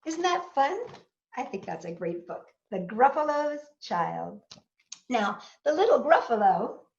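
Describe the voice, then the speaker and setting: A woman's voice: fairly high pitch, very animated, measured pace, vocal fry. A woman hosting a children's storytime, reading aloud expressively and speaking directly to young listeners. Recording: echoey room, muffled narrow sound.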